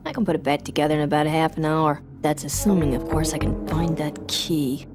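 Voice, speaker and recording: A woman's voice: sits low, fairly even intonation, brisk, over music, close to an everyday voice. An actress playing a tough, matter-of-fact young woman mechanic in a video game, wry and plain-spoken.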